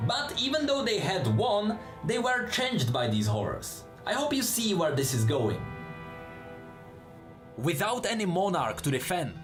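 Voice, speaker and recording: A man narrating fantasy lore as scripted, dramatic storytelling, with explanatory asides. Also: fairly high pitch.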